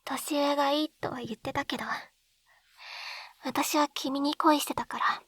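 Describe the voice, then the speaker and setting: A woman playing a shy, lovestruck schoolgirl in an intimate ASMR roleplay, speaking breathily and haltingly, close to a binaural microphone. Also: high voice, steady tone, fairly bright tone, no echo. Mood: tender, intimate. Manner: breathy, halting, often dropping into whispers. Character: lovestruck schoolgirl reunited from another world, shy and tender. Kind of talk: Japanese ASMR roleplay, intimate situation voice acting. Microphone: close binaural dummy-head microphone.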